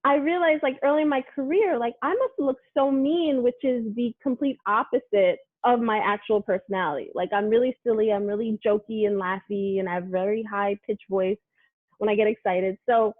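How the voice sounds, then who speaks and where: A woman's voice: fairly high pitch, normal speed, dry recording, narrow, tinny audio. A sports reporter from Brooklyn, a woman, talking casually in an interview, full of "like" and "you know". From Brooklyn, New York. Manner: chatty, animated, many fillers like "like" and "you know".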